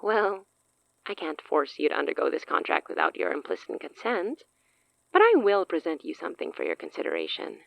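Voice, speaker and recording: An actress playing a cunning, self-assured sorceress in an audio drama, speaking in a theatrical, silky, condescending way. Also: female voice, sits low, huge pitch swings, a little fast, gravelly, no room sound, exaggerated voice, rough recording. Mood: smooth, commanding. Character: cunning, self-assured woman sorceress offering a dangerous pact.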